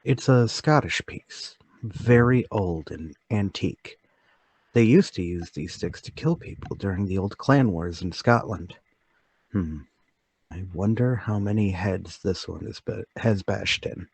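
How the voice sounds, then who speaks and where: Radio play: male actor as meek, nervous male lodger, low register, hesitant and courteous, dry close sound. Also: a little slow, vocal fry, darkish tone, slightly rough, dry recording, full character voice. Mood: quietly excited. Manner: hesitant, repeating himself, polite. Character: timid, nervous middle-class man lodger, secretly excited. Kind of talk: radio-play table read.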